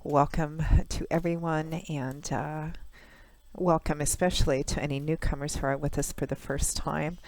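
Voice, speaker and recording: A woman tarot reader, hoarse from a cold, talks through a monthly reading in a measured, conversational way with frequent pauses and fillers. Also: deep voice, fairly even intonation, darkish tone, no room sound, rough recording. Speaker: tarot reader, channel host.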